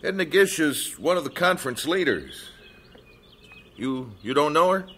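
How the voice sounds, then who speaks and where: A rambling camp registrar, very lively pitch, hesitant. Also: male voice, measured pace, slightly rough, heavily acted. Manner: hesitant, rambling, full of uh's.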